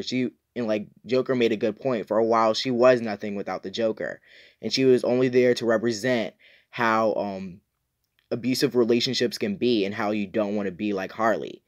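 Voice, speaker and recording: A man's voice: lowish voice, highs cut off. A man talking casually to viewers as an enthusiastic, opinionated reviewer who leans on emphatic repetition. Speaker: YouTube reviewer, host.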